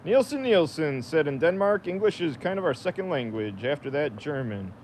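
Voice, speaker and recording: Casting: American male DIY streamer, voice a little high, off-the-cuff muttered chat while working, light workshop noise. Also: big pitch swings, normal speed, darkish tone. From United States. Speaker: livestream host, DIY woodworker. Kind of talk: casual unscripted livestream chat while working. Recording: noisy workshop, machinery rumble.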